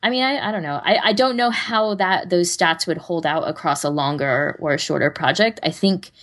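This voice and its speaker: A woman with a low-pitched voice talks casually as a podcast co-host. She rambles along conversationally and pokes fun at herself as she goes. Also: normal speed, a bit bright. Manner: rambling, self-deprecating.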